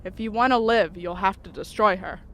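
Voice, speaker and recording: A woman's voice: mid-range voice, moderate pace, natural voice, poor audio. A woman playing a stern, insistent young goth occultist in an audio drama, earnest and ominous.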